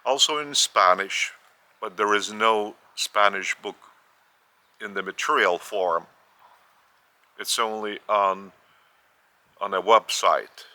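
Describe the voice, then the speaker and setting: A man with a Lithuanian accent teaches in a very slow, measured way, often repeating and correcting himself. His voice sits a little low in pitch but sounds strikingly bright and forceful. He speaks English as a second language. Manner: measured, with repetitions and careful restarts. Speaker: spiritual teacher.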